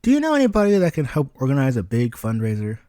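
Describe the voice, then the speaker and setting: A man's voice: mid-range voice, wildly swooping pitch, quick pace, mellow, no room sound, studio quality. A man voicing a kind, eager young boy in a story, speaking politely and earnestly. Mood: earnest, pleading.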